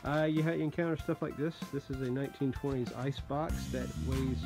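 A man's voice: mid-range voice, steady tone, fairly quick, music in the background. A man who installs radon systems, walking through an old house cellar with a handheld phone and talking through the work in a folksy, joking way. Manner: folksy, joking, plain-spoken. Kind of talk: casual how-to walkthrough.

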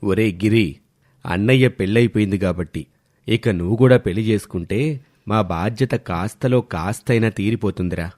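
Man playing a low, measured old family father. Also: darkish tone, natural voice. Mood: coaxing. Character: older Indian family patriarch, gentle, reassuring father. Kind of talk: cartoon family drama acting.

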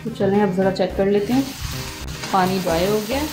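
A woman narrates a home recipe for her cooking channel, moving steadily through each step. Her voice sits in the middle of the range. It rings in an echoey room, with music playing clearly underneath. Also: medium pitch, brisk. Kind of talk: recipe voice-over tutorial. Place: home kitchen.

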